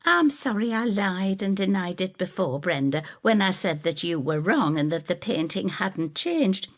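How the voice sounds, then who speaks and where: A woman's voice: medium pitch, expressive, moderate pace, lightly acted. A woman voicing a bitter, self-critical older woman with a rueful, tart and emphatic manner in an audio drama. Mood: haunted, confessing. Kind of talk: audio drama storytelling dialogue.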